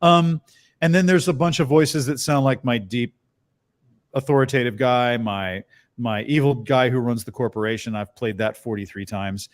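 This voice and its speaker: A middle-aged man with a bass-baritone voice, a voice actor chatting as a guest in an interview and telling stories with lively bits of character voices. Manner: animated storyteller, tosses in quick character voices. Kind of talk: casual interview chat.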